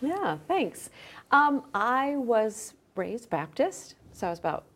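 A woman's voice: a little high, sing-song, takes it slowly, fairly bright tone. A middle-aged woman speaking conversationally as an interview guest, recounting things in an informal, explanatory way. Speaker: podcast guest, journal managing editor.

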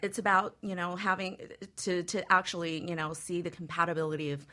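Low-voiced woman, halting explanation. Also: little pitch movement, pushed and bright. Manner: halting, leans heavily on 'you know'. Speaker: guest expert, feng shui consultant. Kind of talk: conversational explanation.

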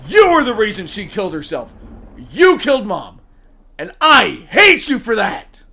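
An actor playing a bitter, grieving young son in an audio drama. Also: male voice, sits high, wildly swooping pitch, a little slow, bright, forceful tone, exaggerated voice. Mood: furious, accusing. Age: young adult.

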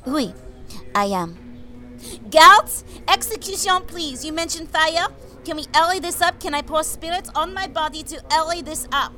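A woman's voice: fairly high pitch, normal speed, a bit bright, soft background music, natural voice. A woman playing a devout, blunt young French warrior saint with a French accent in a comedic audio drama. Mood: defiant.

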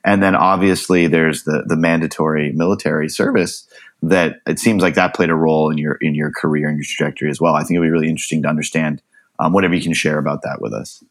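A man's voice: a bass voice, fairly even intonation, quick pace. A man co-hosting a podcast, asking casual, eager questions of his guest. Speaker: podcast co-host.

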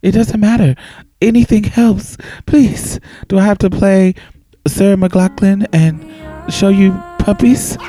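A podcast host giving a playful, theatrical shout-out to patrons, with big swoops in the voice. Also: mid-range voice, steady tone, takes it slowly, no room sound, soft background music.

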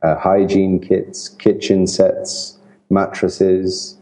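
A low-voiced middle-aged man who grew up in England and spoke Italian at home, talking as an aid worker in an interview. His tone is very dark and mellow. He chooses his words slowly and thoughtfully, restarting his sentences often. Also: monotone, low-quality recording. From Italy, raised in England. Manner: hesitant, many fillers and restarts, thoughtful. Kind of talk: interview answers.

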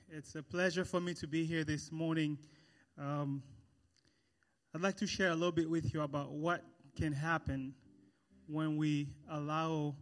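A man from Haiti giving his testimony as a guest speaker in church, telling his story in measured, emphatic phrases. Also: fairly high pitch.

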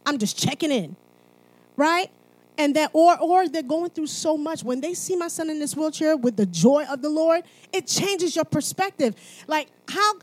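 A woman's voice: sits high, no echo, low-quality recording. Woman preaching in a conversational, emphatic way. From Haiti. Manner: conversational and emphatic, often checking in with "right?". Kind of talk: sermon mixed with personal testimony.

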